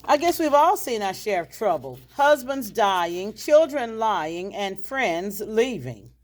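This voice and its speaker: A woman's voice: mid-range voice, big pitch swings, slow pace. A woman reading the part of a genteel, chatty, gracious older widowed hostess in a stage play. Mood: wistful, gracious. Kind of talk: stage play table read.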